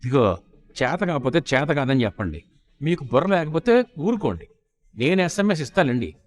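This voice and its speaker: A man voicing a bossy, nagging wife with a sharp-tongued, insistent manner. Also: on the high side, sing-song, moderate pace. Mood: irritated.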